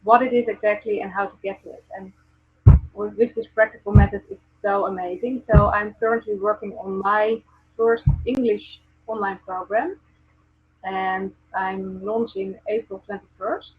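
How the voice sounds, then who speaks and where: A Dutch-accented woman speaks earnestly as a guest, pausing on ums and restarting her sentences. Her voice is a little husky. The audio is thin and phone-like, as from a video call. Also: medium pitch, fairly even intonation. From Netherlands. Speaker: guest, presence coach. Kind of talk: casual video call chat.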